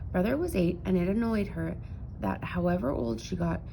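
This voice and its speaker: A woman narrating a children's picture book aloud in an expressive storytelling style. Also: lowish voice, normal speed, gravelly, a little hiss or hum, poor audio.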